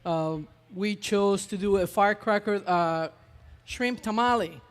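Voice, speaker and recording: A man talks through a cooking demo, his voice sitting high for a man. He is chatty and halting, filling pauses with uh. The sound rings with long reverb from a festival hall. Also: clean tone. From Ecuador. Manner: chatty, hesitant with many uh fillers. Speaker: chef. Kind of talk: live cooking demonstration.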